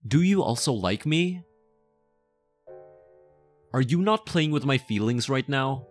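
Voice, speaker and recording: A man playing a possessive, lovestruck mafia boss in an intimate ASMR roleplay, speaking softly and coaxingly, obsessive yet tender. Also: mid-range voice, animated, faint music behind. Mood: pleading, lovestruck. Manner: slow, soft, coaxing, close and intimate.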